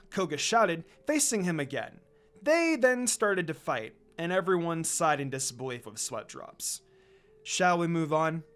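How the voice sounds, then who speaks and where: A man reading a fan-written story aloud, narrating in a lively storytelling manner. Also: fairly high pitch. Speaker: fanfiction reader.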